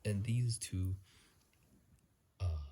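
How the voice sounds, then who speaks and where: A man giving casual, halting ASMR commentary in a hushed, breathy whisper, sleepy and close to a phone microphone. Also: sits low. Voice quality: breathy, gravelly soft whisper. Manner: slow, halting, hushed delivery. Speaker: video host.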